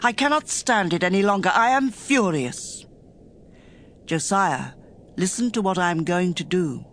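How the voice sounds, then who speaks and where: A woman playing a stern, animated prophetess. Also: lowish voice, slight background noise. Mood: grave, sorrowful. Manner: solemn, declamatory. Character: stern woman prophet speaking God's furious judgment. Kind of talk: dramatized scripture reading.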